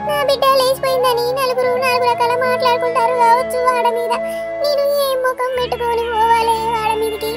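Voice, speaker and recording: A wailing village mother in a family drama, with an extremely high, childlike pitch held in a narrow range. She laments tearfully in Telangana Telugu, music behind her. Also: flat delivery, brisk, no room sound. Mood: wailing grief. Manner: lamenting, repetitive appeals. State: crying.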